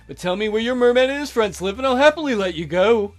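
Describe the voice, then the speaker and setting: Man doing a villain boss, middle-aged, smooth, politely threatening, fairly high voice. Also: fast talker. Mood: calm menace. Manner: calm, smooth, quietly threatening. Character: cold scheming male kidnapper boss, middle-aged.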